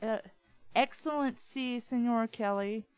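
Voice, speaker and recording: A woman reading the lines of a sly, pompous little round man in a storybook, with a high, musical voice. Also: slow pace, smooth, no echo. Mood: smug.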